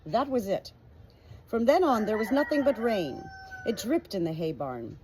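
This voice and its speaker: A woman with a slightly husky voice narrating a storybook from a script. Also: mid-range voice, very animated, measured pace, noisy background. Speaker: audiobook reader.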